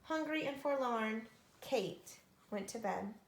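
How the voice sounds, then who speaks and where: A woman reading a children's story aloud with expressive narration. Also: a little high, slow. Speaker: storybook reader. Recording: echoey room.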